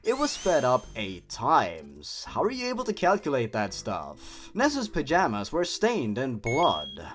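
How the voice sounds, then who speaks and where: A man's voice: mid-range voice, wildly swooping pitch, measured pace, few pauses. A man hosting a dramatic reading of a horror story, dropping in sarcastic, exasperated comments of his own. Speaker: host. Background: music.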